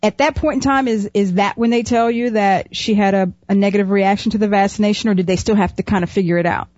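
This interviewer asks gentle questions and answers with short, sympathetic responses. The voice sounds slightly dull and muffled. It is close and dry, with no echo. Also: medium pitch, fairly even intonation, fast talker. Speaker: interview host.

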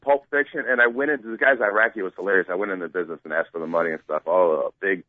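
Excitable man on a tinny phone line. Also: sits low, very fast, dry recording. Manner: rambling, excitable, laughs at his own stories. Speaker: radio co-host calling in. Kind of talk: casual storytelling on the phone.

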